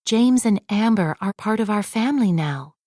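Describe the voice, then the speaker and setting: A woman playing a kind, reassuring royal mother in a children's storybook, in a gentle manner. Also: mid-range voice, lively intonation, normal speed, no echo, studio quality, natural voice. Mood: gently firm.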